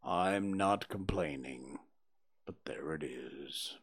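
A man voicing a gloomy old gray male donkey, speaking slowly and mournfully in a low, breathy, half-whispered voice. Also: full character voice. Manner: slow, mournful, sighing.

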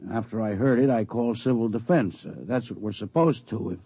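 Nervous, plainspoken male ham operator, soft mellow tone, 1950s radio drama, dry lo-fi recording. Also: on the low side, fairly quick, slightly rough, dry recording. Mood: nervous, earnest. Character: earnest, slightly nervous amateur radio hobbyist witness. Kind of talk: 1950s radio drama acting, as taped interview.

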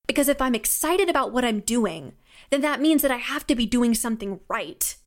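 Young woman on a podcast interview, kinda high voice, gushy and emphatic, casual chat. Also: lively intonation, fairly quick. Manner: enthusiastic, emphatic. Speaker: podcast guest, audio drama writer and sound designer.

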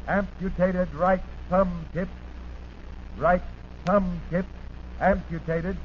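Slow, high-voiced American man, old radio bulletin. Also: fairly even intonation. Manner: deliberate, staccato, repeats details. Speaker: radio announcer reading wanted bulletins. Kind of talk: 1940s radio bulletin, clipped scripted reading. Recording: muffled, noisy 1940s radio recording.

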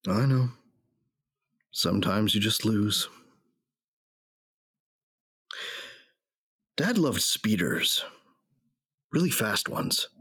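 A man's voice: lowish voice, very animated, slow, fairly bright tone, breathy edge, no room sound, studio quality, exaggerated voice. An actor playing a grieving, hard-drinking bounty hunter who narrates his story in a subdued, weary, reflective way in an audio drama. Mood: bitter, nostalgic. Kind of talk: first-person audio drama narration and dialogue.

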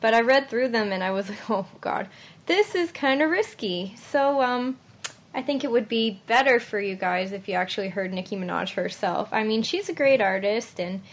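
A woman's voice: a little high, lively intonation, moderate pace. A woman co-hosting a podcast, presenting in a casual, chatty style. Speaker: podcast co-host.

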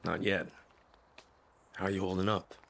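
A battle-worn cyborg soldier with a low, creaky voice. He is terse and determined, a tired veteran in a dystopian radio play. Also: level tone, normal speed, fairly bright tone, heavily acted. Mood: urgent, concerned. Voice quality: gravelly, husky. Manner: terse, low-key military delivery. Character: weary, determined cybernetic veteran soldier wandering a wasteland. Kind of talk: audio drama acting.

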